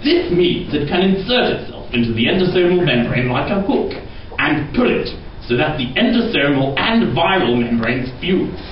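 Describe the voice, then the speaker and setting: A high, swooping, theatrical scientist puppet played by a young man who lectures with keen excitement. The recording is very echoey and distant, with clear noise, like a homemade educational puppet show. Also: moderate pace. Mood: keen, lecturing. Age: young adult. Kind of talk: amateur educational puppet show, scripted explanation. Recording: very echoey room, distant dull noisy microphone.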